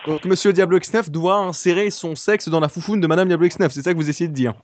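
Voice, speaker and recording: An earnest breaking-news anchor, played by a man with a high-pitched voice. He reports as if live, insisting and repeating himself while stumbling over his words in a mock broadcast for a prank call. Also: fast talker, natural voice. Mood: formal. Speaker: prank caller.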